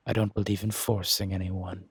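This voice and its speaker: Man acting a courtly seductive stranger, low breathy voice, teasing drawl, audio drama roleplay, close dry sound. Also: level tone, moderate pace, no room sound, exaggerated voice. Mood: courtly, inviting. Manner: breathy, drawling, flirtatious, formal wording. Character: smooth, seductive, well-dressed male stranger, courtly and teasing.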